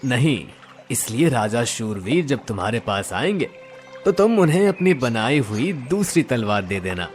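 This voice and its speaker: A man voicing a kindly river god in a cartoon moral story, reassuring with calm authority. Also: medium pitch, a little slow, soft background music. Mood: benevolent, explaining.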